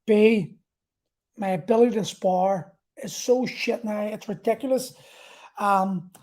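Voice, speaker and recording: Mellow-voiced man in his forties. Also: high voice, slow pace. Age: 40s. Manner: candid, rambling, sweary. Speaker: podcast host and martial arts coach. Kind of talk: casual solo talk about personal experience.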